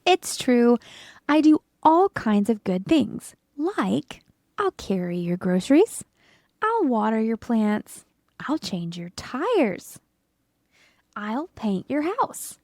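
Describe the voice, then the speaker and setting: A woman voicing an earnest, dutiful male egg telling his own story in a children's storybook, often breathy. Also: on the high side, very animated, slow, a little mellow, no echo, clean studio sound, full character voice. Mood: earnest, proud. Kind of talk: children's storybook first-person narration.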